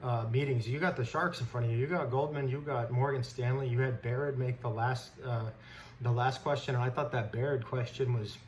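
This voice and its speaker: A man's voice: medium pitch, rough recording. A man who hosts stock commentary, delivering an unscripted, blunt, indignant rant in informal language. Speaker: stock trader host giving commentary. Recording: clear background noise.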